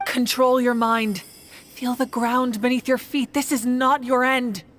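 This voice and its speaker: A woman's voice: a little high, flat delivery, fairly bright tone, slight background noise. Composed, commanding woman leader. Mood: urgent, commanding. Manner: composed, measured, authoritative. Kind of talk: dramatic video game voice acting.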